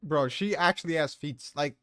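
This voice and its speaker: A man's voice: on the high side, normal speed, a bit bright, dry recording, studio quality. A man hosting a casual debate, speaking bluntly with slang and swearing. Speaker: host presenting his tier list.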